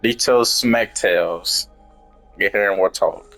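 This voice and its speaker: A man's voice: on the low side, slow, a bit bright, poor audio. A man giving casual, slangy game commentary as a streamer, irreverent and profane. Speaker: gameplay streamer. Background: faint game music.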